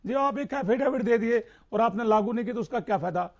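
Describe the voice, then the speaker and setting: A man's voice: extremely high pitch, a little fast, creaky, dull audio. A man speaking as a farmer leader in an impassioned political debate, insistent and repeating phrases for emphasis.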